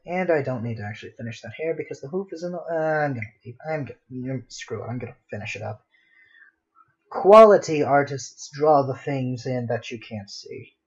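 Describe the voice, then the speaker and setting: A man's voice: medium pitch, lively intonation, fairly quick, slightly rough. A man casually chatting on a livestream while he draws, muttering to himself in a self-deprecating way. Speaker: livestreaming artist and host. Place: at home with a dog nearby. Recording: dull lo-fi home stream audio.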